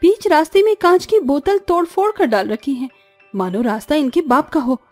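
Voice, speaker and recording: A woman's voice: high-pitched, moderate pace, a little mellow, no echo, soft background music, lightly acted. A woman voicing a caring, principled young village animal doctor in a cartoon, earnest and firm. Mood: annoyed. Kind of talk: cartoon story voice acting.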